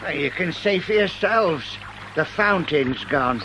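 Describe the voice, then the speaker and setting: A man's voice: a little high, expressive, measured pace. A man playing a cheeky, surly old park keeper in a radio drama, curt, defensive and insolent. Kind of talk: British radio drama acting. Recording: noisy radio drama recording, outdoor ambience.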